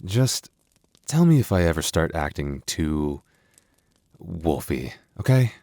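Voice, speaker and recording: Young male werewolf boyfriend , acted by a man: deep, soft, hesitant, protective and affectionate; intimate ASMR roleplay, dry recording. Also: big pitch swings, slow pace, no room sound, exaggerated voice.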